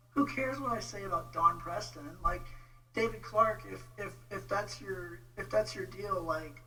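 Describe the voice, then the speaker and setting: Bright-voiced Texan man, distant mic. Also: high voice. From Texas, United States. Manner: informal, halting, exasperated. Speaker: vlogger, religious debate commentator. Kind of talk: casual livestream rant replying to viewer comments. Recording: distant mic with faint noise and hum.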